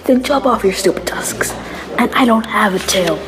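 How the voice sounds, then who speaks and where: A woman's voice: mid-range voice, expressive, moderate pace, music in the background, pitched above the performer's own voice, natural voice. Woman voicing an indignant wounded male dragon. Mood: bitter, angry. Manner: indignant, sarcastic. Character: wounded male dragon, furious, bitter and whimpering.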